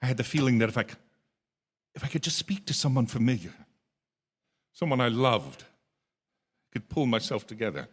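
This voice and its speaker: An actor playing a haunted, desperate traveling man in a radio drama, halting and prone to stammer. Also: medium pitch, animated, breathy edge. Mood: brooding. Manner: halting, stammering when distressed. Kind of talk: radio drama acting, first-person narration and dialogue. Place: theatre stage. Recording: live theatre reverb, music underneath.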